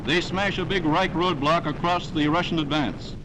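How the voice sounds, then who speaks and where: A man's voice: on the high side, moderate pace, background noise. A man narrating a 1940s newsreel in a dramatic, declamatory scripted style, on a vintage soundtrack.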